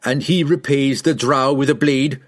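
A man's voice: mid-range voice, fairly even intonation, moderate pace, voice pushed up, close to an everyday voice. A man voicing an earnest, resolute human warrior who speaks firmly and formally in a fantasy audiobook. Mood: indignant.

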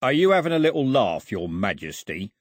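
A male narrator plays a gruff gunman and bully in a storybook. His voice is husky and growling, his delivery sneering and short-tempered. He swings in pitch as he threatens, recorded dry. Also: big pitch swings, fairly quick, no room sound. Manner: growling, sneering, mocking, coarse.

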